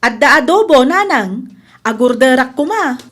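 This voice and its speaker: High-voiced, friendly female customer. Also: lively intonation, normal speed, fairly bright tone. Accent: Ilocano. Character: friendly, chatty female customer of a food seller. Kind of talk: Ilocano radio drama acting.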